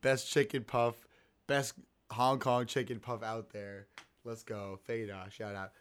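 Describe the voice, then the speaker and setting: A man's voice: medium pitch, level tone, measured pace, clear, resonant tone. A man with a scratchy throat, hosting a casual sports podcast and rambling with many restarts. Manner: rambling, self-interrupting. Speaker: podcast host.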